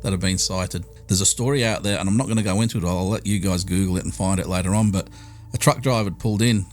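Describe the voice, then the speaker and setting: A man's voice: sits low, fairly even intonation, quick pace, soft background music. A man hosting a podcast, telling a ghost story in a rambling, chatty way full of asides. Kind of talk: casual podcast chat. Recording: faint background noise.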